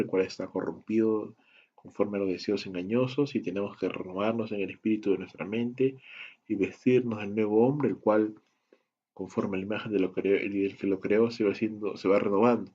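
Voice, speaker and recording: A man with a very low, husky voice gives a slow, careful Bible lesson. He walks through points one by one and poses questions to the listener. The recording sounds a little dull and muffled. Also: darkish tone. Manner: measured, list-like delivery with rhetorical questions. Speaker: Bible study teacher. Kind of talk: slow, deliberate teaching lecture.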